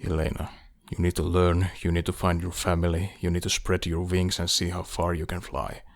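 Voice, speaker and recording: A man playing a devoted, determined lover in audiobook dialogue, speaking in a hushed, earnest, measured way. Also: low-pitched, completely flat delivery, no room sound. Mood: tender, resigned.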